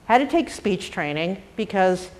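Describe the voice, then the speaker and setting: An older woman with a trace of a Boston accent talks candidly on a panel about her working life. Her pitch sits in a middling range, and her manner is wry, blunt and self-deprecating. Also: lively intonation, unhurried. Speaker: panel speaker. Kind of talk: candid personal talk.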